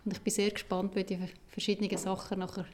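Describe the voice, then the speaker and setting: A woman's voice: medium pitch, little pitch movement, fast talker, a little hiss or hum. A woman giving spontaneous answers as an interviewee.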